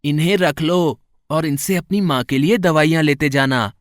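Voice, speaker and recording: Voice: medium pitch, normal speed, no room sound, clean studio sound, lightly acted. An actor doing a sweet, confident chubby little boy. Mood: confident, kindly.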